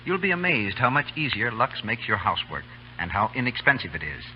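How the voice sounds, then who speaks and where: A man's voice: on the low side, big pitch swings, a little fast. An American man announcing in 1930s radio style with an emphatic salesman's delivery. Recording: muffled old radio with faint hum.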